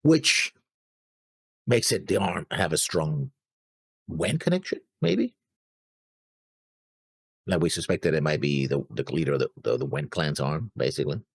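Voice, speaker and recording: Male co-host, casual note-reading chat. Very creaky voice with lively, swooping intonation. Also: a bass voice, a little slow, long pauses, no room sound. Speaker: reaction show co-host. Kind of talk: casual discussion, reading notes.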